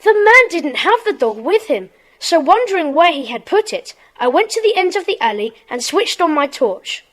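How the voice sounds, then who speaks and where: A woman playing an excited schoolboy detective, earnest and eager, in a children's audio drama. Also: extremely high pitch, moderate pace, hardly any pauses, heavily acted, rough recording. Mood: excited, puzzled.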